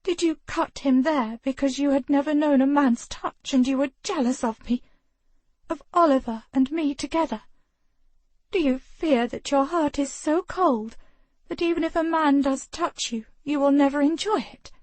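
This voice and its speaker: A heartbroken young lady of rank, as a woman reads her, with a high, quavering voice. The sound is close and dry. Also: steady tone, a little slow, mellow, no echo. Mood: accusing. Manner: quavering, tearful. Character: grieving young noblewoman, heartbroken.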